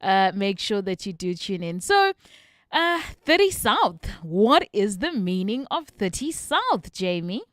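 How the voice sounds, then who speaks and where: Smooth-voiced upbeat woman radio host. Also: medium pitch, very animated, unhurried, no room sound, clean studio sound. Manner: upbeat, chatty. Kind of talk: radio talk show hosting. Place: radio studio.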